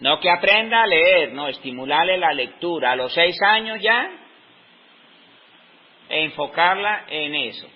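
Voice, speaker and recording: A Colombian man in his fifties, giving an unscripted talk on teaching with slow, reflective pauses in the middle of his sentences. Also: a little high, lively intonation. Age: 50s. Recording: dull lo-fi recording.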